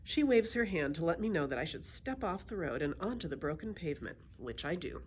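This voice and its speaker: A woman reading a children's story aloud in an expressive storytelling style. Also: on the low side, brisk, slight background noise. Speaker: library story reader.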